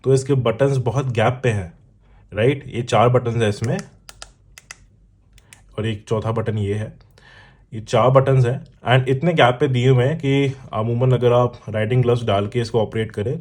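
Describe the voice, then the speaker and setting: A man's voice: mid-range voice, normal speed, darkish tone. Indian man explaining casually to viewers. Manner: explanatory, addresses viewer with examples and check-ins. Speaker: motorcycle vlogger and product reviewer. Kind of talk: casual unboxing talk mixing Hindi and English.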